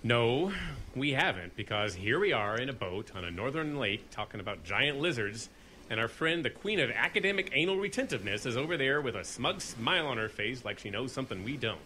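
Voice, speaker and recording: A blunt, suspicious outdoorsman in a radio horror play. His voice is bright and forceful, with a sarcastic edge, over a faint engine rumble. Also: sing-song, brisk. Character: suspicious, blunt outdoorsman named Giff, a monster witness. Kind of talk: audio drama acting.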